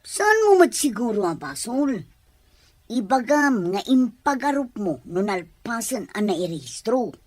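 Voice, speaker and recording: A woman's voice: on the high side, sing-song, measured pace, no echo. A woman playing a scheming, money-hungry schemer in an audio drama, coaxing and insistent. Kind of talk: amateur audio drama acting.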